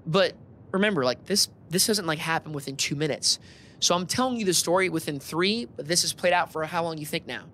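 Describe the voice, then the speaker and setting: A man with a bright, forward voice chats informally as an air traffic controller recounting his work. He slips into quoted exchanges and slang as he explains. A faint rumbling noise sits behind him. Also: fairly quick. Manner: animated, informal, uses slang and quoted dialogue. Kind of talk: casual conversational storytelling, acting out radio exchanges.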